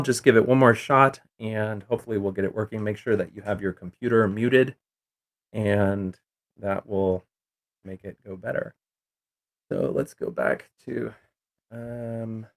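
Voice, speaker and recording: A middle-aged man hosting a casual call-in show, rambling with many fillers and restarts. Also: on the low side.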